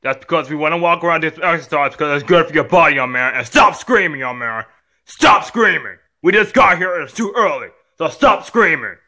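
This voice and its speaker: A man's voice: on the high side, expressive, fairly quick, pushed and bright. A man playing a stern, weary father who scolds and admonishes his young son in a homemade story. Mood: firm.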